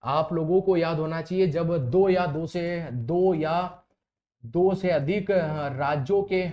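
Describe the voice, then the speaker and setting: A man's voice: fairly high pitch, slow, muffled recording. A man lecturing as an exam coach, repeating points and putting questions to students. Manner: repetitive, explanatory, questioning the students. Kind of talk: classroom lecture for exam preparation.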